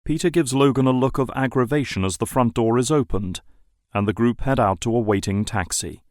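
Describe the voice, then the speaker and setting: A man's voice: mid-range voice, a little fast, a little mellow, dry recording, clean studio sound. A man narrating an audio drama in a scripted, dramatic storytelling style.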